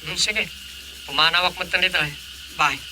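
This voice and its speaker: A man's voice: high voice, moderate pace, sharp tone, a little hiss or hum, poor audio. A man acting in a radio drama, talking in a chatty, explaining way.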